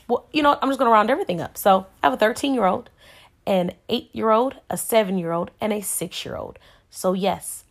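A woman's voice: medium pitch, gravelly. A woman in her thirties hosting a podcast, giving an inspirational faith talk to listeners in an earnest, emphatic way with preacher-like repetition. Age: 30s. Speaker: podcast host.

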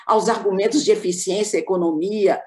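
A Brazilian woman giving a formal, measured academic talk as a panelist on an online call. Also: mid-range voice, steady tone, a little mellow.

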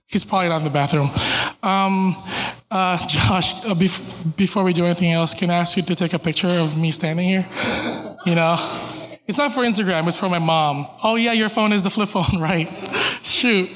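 Young man, high voice, live storyteller, jokey, self-deprecating, stammers, faint background noise. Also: a bit bright. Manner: joking, self-deprecating, chatty with the crowd; stammers and restarts. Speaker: guest speaker at a live storytelling event. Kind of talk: live storytelling talk to an audience. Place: event room with a live audience.

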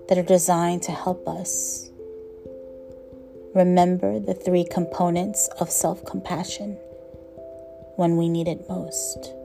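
A woman guiding a meditation with slow, gentle instruction in a soothing, measured manner, letting phrase ends trail softly. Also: fairly low pitch, creaky. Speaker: meditation guide.

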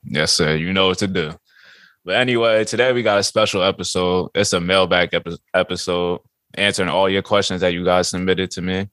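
A man hosting a podcast in casual sports chat, slangy and profane, with a voice hoarse from shouting. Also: low voice, fairly even intonation, a little fast, dry recording. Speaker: podcast host.

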